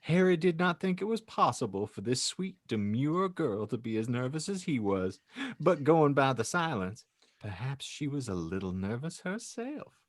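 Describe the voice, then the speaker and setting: Male host narrating in a theatrical, wry read, lively pitch, dry close sound. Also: moderate pace, no echo. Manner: theatrical, wry, breaks into laughter. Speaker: podcast host reading as narrator. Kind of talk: comedic dramatic reading with asides.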